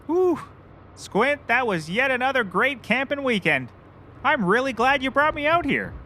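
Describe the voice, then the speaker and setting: An actor playing a hapless, self-important and childish private eye in a scripted comedy audio show, earnest, excitable and oblivious. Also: male voice, very high-pitched, moderate pace, a little hiss or hum, full character voice.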